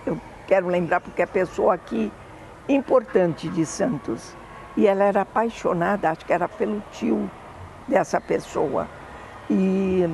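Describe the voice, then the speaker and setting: An older woman with a Brazilian Portuguese accent, fondly recalling family memories in an oral history interview, often pausing to correct herself. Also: mid-range voice, creaky, a little mellow, a little hiss or hum, poor audio. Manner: fond, meandering reminiscing with self-corrections.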